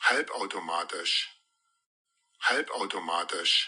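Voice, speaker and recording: Sharp, husky synthetic male voice, slow. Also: low-pitched, expressive. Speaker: translation app voice pronouncing vocabulary. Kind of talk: isolated dictionary-style word pronunciation.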